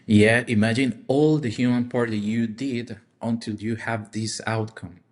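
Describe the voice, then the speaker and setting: A man who is a non-native English speaker, discussing a topic casually on a podcast and haltingly searching for words. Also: medium pitch, fairly even intonation. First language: not English. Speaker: podcast co-host or guest.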